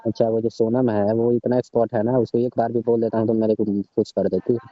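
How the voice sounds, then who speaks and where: A man's voice: mid-range voice, flat delivery, fairly quick, no echo, natural voice. A man playing a pushy, leering coaching teacher, needling and insisting in casual conversation. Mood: sulky, insistent. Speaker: performer in a comic prank-style phone call skit. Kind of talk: casual phone conversation skit. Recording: muffled lo-fi phone call recording.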